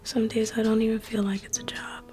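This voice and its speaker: A woman whispering softly and reassuringly as a private museum guide in an ASMR roleplay. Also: fairly high pitch, little pitch movement, a little fast. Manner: soft whispering, intimate and reassuring.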